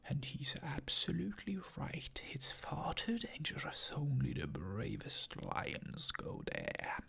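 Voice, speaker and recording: A scheming villain lion uncle, sarcastic and mock-sweet, whispered by a reader. The lines come out slow and breathy, with a gravelly edge and big swings in pitch. It is a hushed storybook reading. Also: wildly swooping pitch, heavily acted. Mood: sly.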